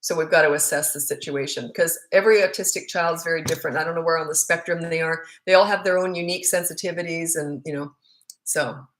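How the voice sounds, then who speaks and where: A woman's voice: low-pitched, steady tone, fast, fairly bright tone, poor audio. A middle-aged woman with a Canadian accent, a parenting coach answering viewer questions live in a frank, chatty, rambling way.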